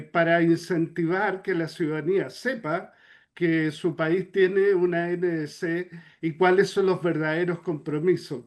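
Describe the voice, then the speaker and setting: A man puts a question to a panel, speaking in a somewhat high register. His tone is earnest and measured, a little like a speech. He builds the question step by step. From Chile. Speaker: participant asking a question.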